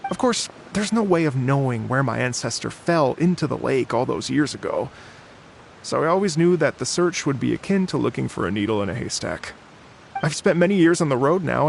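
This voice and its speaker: A gravelly, weary bard. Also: male voice, medium pitch, a little hiss or hum. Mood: earnest. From Fontaine. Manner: measured, earnest storytelling. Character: weary middle-aged male bard, earnest storyteller. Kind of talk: video game dialogue.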